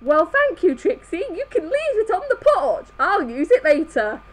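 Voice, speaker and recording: A woman's voice: extremely high pitch, sing-song, moderate pace. A woman reading fan fiction aloud live, stumbling over the text as she goes. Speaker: reader in a group comedy reading.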